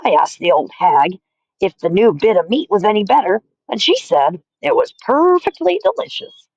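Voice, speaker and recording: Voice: mid-range voice, wildly swooping pitch, normal speed, gravelly, a little mellow, husky, no echo, lo-fi sound, voice pushed up, exaggerated voice. A reader voicing a male waiter calling out to the kitchen staff. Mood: mocking. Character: loud male hotel waiter.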